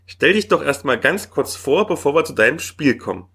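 A man's voice: fairly high pitch, animated, brisk, gravelly, a bit bright, husky. A man hosting a podcast, interviewing a guest with friendly, structured questions.

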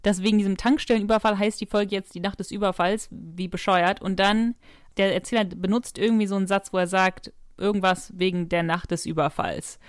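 A woman's voice: mid-range voice, steady tone, a little fast, no echo, clean studio sound. A woman co-hosting a podcast, discussing a story in casual conversation. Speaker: podcast co-host. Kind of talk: casual chat discussing an audio drama episode.

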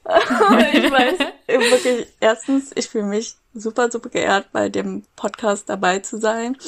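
Giggly slow-talking young woman. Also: fairly high pitch. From Berlin, Germany. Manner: giggly, hesitant, many fillers. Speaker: podcast guest. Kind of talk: casual podcast interview.

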